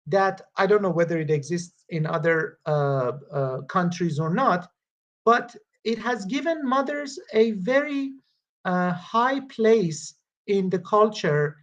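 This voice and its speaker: Halting man. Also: sits high, lively intonation, fairly bright tone, dull audio. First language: Farsi. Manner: halting, with frequent pauses and restarts. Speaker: Quran study presenter. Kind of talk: reading verses and commenting in a study group. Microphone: video call.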